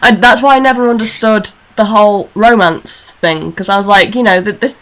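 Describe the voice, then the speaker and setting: A woman's voice: medium pitch, moderate pace. Playful woman chatting on an online show. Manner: informal, playful, jokey. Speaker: online radio show host. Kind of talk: casual fan discussion. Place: internet call recorded on a computer.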